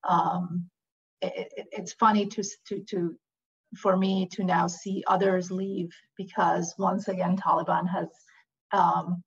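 A woman's voice: fairly low pitch, creaky, husky. A woman born and raised in Iran, speaking as an interview guest, hesitant with many fillers and restarts. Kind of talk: conversational interview. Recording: dull lo-fi audio.

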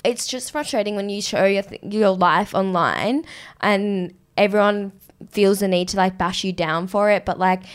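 A woman's voice: medium pitch, normal speed, smooth. A woman co-hosting a podcast, talking casually and bluntly, with frequent swearing and filler words. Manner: frustrated, blunt, sweary ranting. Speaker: podcast co-host. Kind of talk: casual chat.

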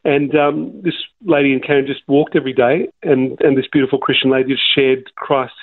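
A man's voice: mid-range voice, little pitch movement, a little fast, no room sound. Australian man in his sixties on a tinny line. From Melbourne, Australia. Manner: rambling, many restarts and fillers. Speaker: interview guest. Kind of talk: casual interview storytelling. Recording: dry, tinny phone-like line.

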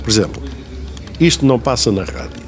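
A man's voice: mid-range voice, expressive, brisk, lightly acted. A man playing a veteran radio personality, a fussy, pedantic grumbler with a European Portuguese accent, in a comedic radio drama. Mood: grumbling. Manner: pedantic, nitpicking, digressive. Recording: clearly noisy, room ambience.

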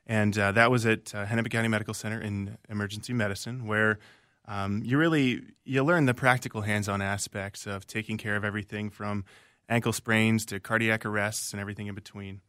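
Lowish-voiced male doctor from Virginia. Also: a bit bright, no echo, studio quality. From Virginia, USA. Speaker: guest emergency physician. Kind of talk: radio interview answers.

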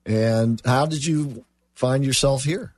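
A man's voice: mid-range voice, slow pace, a little mellow, no echo. A man hosting a business talk show, asking short, easygoing questions to prompt his guest. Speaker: host.

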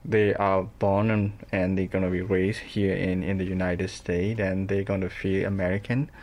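Burmese accent guy, deep voice, slow thoughtful answers with lots of uhs. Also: flat delivery, a little mellow. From Burma. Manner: hesitant, frequent "uh" and restarts, thoughtful. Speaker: interview guest, parent. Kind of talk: conversational interview.

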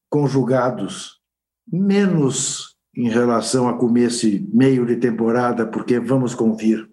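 An older Brazilian man, a sports journalist on a podcast panel, telling stories in an unhurried, reminiscing way. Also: mid-range voice, darkish tone. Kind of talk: casual panel chat.

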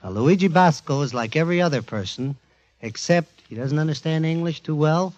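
A man presenting a show to a studio audience in an emphatic, informal, jokey warm-up style, on a 1940s radio recording. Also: fairly high pitch, a little fast, muffled recording. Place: before a live studio audience.

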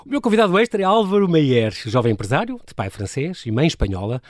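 Man, European Portuguese accent, radio host, chatty, quick, dry studio mic. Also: on the high side, sing-song, no echo. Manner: chatty, laughs often. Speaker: interviewer host. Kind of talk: radio interview.

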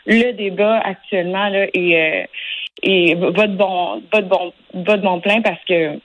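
A woman's voice: mid-range voice, fairly even intonation, unhurried, low-quality recording. Earnest Québécois woman in her twenties. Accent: Québécois French. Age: 20s. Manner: earnest, hesitant with many fillers and restarts. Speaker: lawyer guest. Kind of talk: radio interview answers.

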